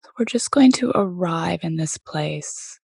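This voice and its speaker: Slow, soft-voiced woman guiding meditation. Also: on the low side, lively intonation, gravelly, breathy edge, no room sound, studio quality. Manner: gentle, unhurried, pausing often between phrases. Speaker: meditation teacher leading a body scan. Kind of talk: guided meditation.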